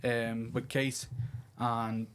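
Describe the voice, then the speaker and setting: A man from Liverpool speaking as a podcast guest, candid and informal, a little halting as he talks about himself. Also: medium pitch, level tone, smooth. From Liverpool, England. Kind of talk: casual personal chat.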